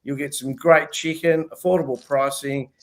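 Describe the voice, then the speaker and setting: A man's voice: a little high, fairly even intonation, moderate pace. An older man hosting a casual panel show over a video call, sounding nasal and throaty from a cold, chatty and jokey. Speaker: host.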